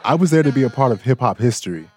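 A man hosting a news podcast, narrating a personal story in a relaxed, lightly joking way. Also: mid-range voice, very fast. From Bangkok, Thailand. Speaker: guest host and audio engineer.

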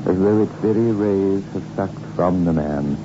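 A man playing a sinister, leisurely horror host on 1960s radio, ominous, theatrical and drawn-out in delivery. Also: sits low, background noise. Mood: grave, ominous. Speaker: horror show host and narrator. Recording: muffled old radio recording, loud music behind.

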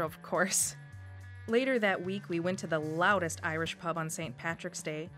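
A woman with a Wisconsin accent tells her own story in a reflective, scripted way. Her voice sits at an average pitch for a woman. Faint music plays underneath. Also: a bit bright. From Wisconsin, USA. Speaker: radio story contributor. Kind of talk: scripted first-person narration.

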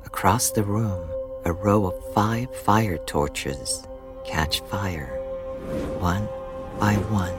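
A man narrating a scripted audio drama deliberately, with dramatic pauses. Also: low-pitched. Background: music.

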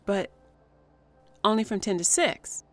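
Animated, politely needling woman newcomer. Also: medium pitch, slow pace, soft background music. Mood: falsely polite. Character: ambitious young female studio newcomer, politely needling. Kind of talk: scripted audio drama acting.

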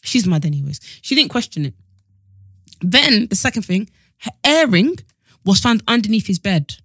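A woman in her twenties co-hosting a podcast, telling stories in an animated, exasperated way. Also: medium pitch, moderate pace, no room sound, studio quality. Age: 20s. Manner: animated storyteller, exasperated asides. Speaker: podcast co-host. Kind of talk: casual chat.

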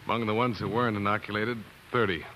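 A man's voice: on the low side, steady tone, fast, sharp tone, slight background noise, low-quality recording. An American man acting in a 1940s radio drama as an earnest, grief-stricken doctor telling his own tragic story, on a vintage broadcast recording. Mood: earnest, weary.

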